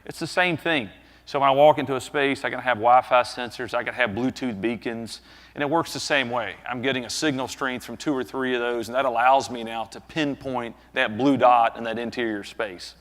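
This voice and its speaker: A man's voice: medium pitch, moderate pace. A man in his thirties with a Southern US accent, giving a conversational business talk on a conference stage through a headset mic, dropping in frequent tag questions. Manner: conversational, frequent tag questions, animated gestures while speaking. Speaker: conference presenter. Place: large conference hall stage. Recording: long reverb of a large hall.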